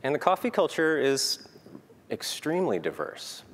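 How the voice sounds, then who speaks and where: A man's voice: a little high, very animated, moderate pace, a bit bright. A man giving a prepared conference talk, earnest and peppered with "um" fillers. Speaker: conference speaker. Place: conference hall. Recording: reverberant hall, faint noise.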